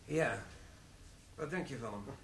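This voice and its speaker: An actor playing a formal, coolly dry security manager in a radio play. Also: male voice, medium pitch, takes it slowly, a bit bright, echoey room, slight background noise. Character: stern middle-aged security manager, dry and cool.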